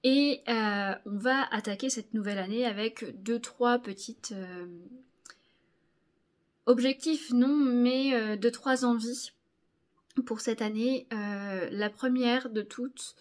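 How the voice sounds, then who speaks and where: A woman in her thirties from Normandy, chatting casually to camera in a knitting vlog, hesitant with many fillers and quick laughs. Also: on the high side. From Normandy, France. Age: 30s. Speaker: knitting video host. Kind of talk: casual monthly vlog chat.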